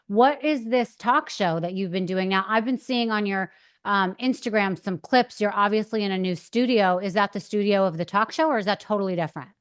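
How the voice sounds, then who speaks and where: A woman's voice: mid-range voice, clean tone. Encouraging woman podcast host. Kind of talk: casual interview chat.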